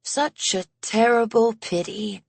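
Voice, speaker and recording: A woman's voice: on the high side, steady tone, takes it slowly, creaky, a little mellow, no room sound, heavily acted. A woman voicing a bitter, volatile genius of a man in an audiobook, intense, condescending and mocking. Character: bitter, volatile, self-important genius man, haggard and snide. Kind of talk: audiobook character acting.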